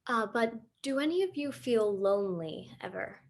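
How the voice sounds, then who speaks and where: A woman's voice: fairly high pitch, expressive, normal speed, vocal fry. A woman playing an earnest student who leads a casual discussion in a documentary play. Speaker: student interviewer.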